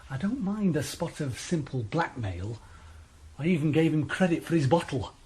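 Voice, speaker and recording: A man's voice: on the high side, animated, moderate pace, darkish tone, echoey room, slight background noise, natural voice. A man playing a smug sneering drug dealer. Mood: boastful. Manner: sneering, coolly threatening. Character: smug male cocaine dealer, menacing and cool. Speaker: villain in radio play. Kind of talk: 1980s radio drama acting.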